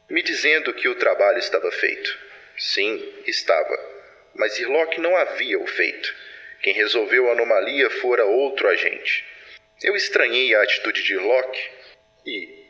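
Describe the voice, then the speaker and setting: Man acting a bright, forceful, solemn superintendent. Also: medium pitch, poor audio. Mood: somber, resigned. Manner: solemn, formal, deliberate storytelling. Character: grave male superintendent of a secret paranormal patrol, dictating case files. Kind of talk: scripted audio drama narration.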